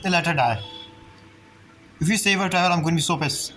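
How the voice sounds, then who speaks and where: A man's voice: fairly high pitch, fairly quick. A man giving casual, blunt, slangy reactions as he plays a game, with game audio behind him. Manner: slangy, blunt, repetitive interjections. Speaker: game streamer. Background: game music and dialogue.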